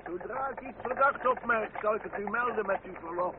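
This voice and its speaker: Actor as a high-voiced, deferential manservant. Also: male voice, a little fast, lightly acted. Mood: dutiful. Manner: formal, obsequious. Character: deferential, formal male servant. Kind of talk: radio play acting. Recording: noisy, tinny old radio recording.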